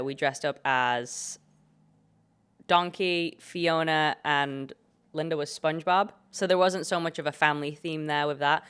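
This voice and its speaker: A young British woman hosting her own podcast in a relaxed, chatty, jokey way, her voice hoarse from a cold. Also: sits low. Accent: British English. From Britain. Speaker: podcast host. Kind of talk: casual chat.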